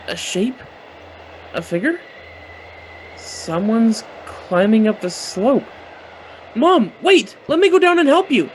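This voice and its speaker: A man's voice: very high voice, slight background noise, exaggerated voice. An actor in a radio play voicing a dazed, yearning son entranced by his mother's apparition. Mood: bewildered. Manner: hesitant, dreamy, questioning. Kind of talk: naturalistic radio play acting.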